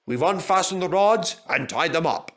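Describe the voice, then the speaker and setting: A man's voice: fairly high pitch, expressive, moderate pace, fairly bright tone, pitched above the performer's own voice. A man voicing a kindly railway controller in a children's story, practical and encouraging, giving reassurance and instructions. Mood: practical concern.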